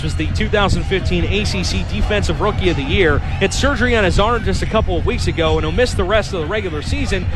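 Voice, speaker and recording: An American man doing live play-by-play sports commentary on the radio. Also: high voice.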